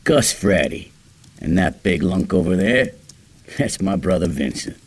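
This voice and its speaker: Sneering drifter ringleader, very low, hugely varied pitch, mock-friendly threat, audio play. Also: male voice, sing-song, unhurried, exaggerated voice. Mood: falsely friendly. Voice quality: gravelly. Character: menacing young male vagrant thug, sneering ringleader brother. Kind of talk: audio drama acting.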